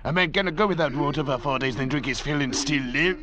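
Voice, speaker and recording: An actor playing a cunning, controlling castaway doctor with measured, calculating, theatrical delivery in 1940s radio drama style. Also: male voice, a little high, a crowd in the background, lightly acted. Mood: gloating. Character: cunning, controlling male doctor adrift in a lifeboat. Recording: noisy vintage radio recording.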